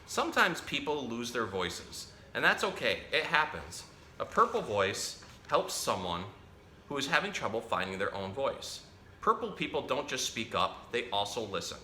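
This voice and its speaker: A man hosting a children's storytime, reading a picture book aloud in a playful, expressive way and talking directly to young listeners. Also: mid-range voice, moderate pace. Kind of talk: animated read-aloud of a children's picture book with chatty asides to a pet parrot. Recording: roomy echo, faint background noise.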